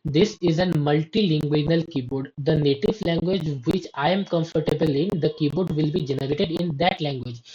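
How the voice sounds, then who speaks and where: A woman demonstrating her software in a slow, explanatory way, often restarting her sentences. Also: low-pitched, muffled recording, slight background noise. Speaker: app developer giving a demo. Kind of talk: screen-recorded software walkthrough.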